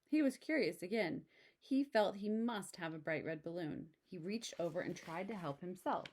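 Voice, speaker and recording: A woman reading a children's picture book aloud in an expressive way, with casual asides. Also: on the low side, moderate pace, slightly rough. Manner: expressive, exclaiming story delivery. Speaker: storybook reader.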